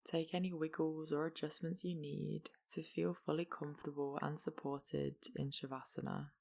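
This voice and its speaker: A meditation guide gently and slowly leading a yoga relaxation practice, leaving long pauses between instructions. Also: medium pitch, flat delivery, gravelly.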